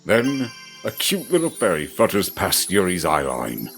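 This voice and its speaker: A man's voice: sits low, wildly swooping pitch, a little slow, creaky, fairly bright tone, soft background music. A man narrating a scripted fantasy audio drama in a theatrical, descriptive storytelling manner.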